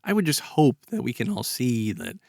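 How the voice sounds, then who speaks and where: A man's voice: medium pitch, very animated, normal speed, gravelly, darkish tone, no echo, clean studio sound. A man speaking as a podcast host in an earnest, emphatic way, talking through ideas conversationally. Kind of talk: conversational discussion of a story's moral.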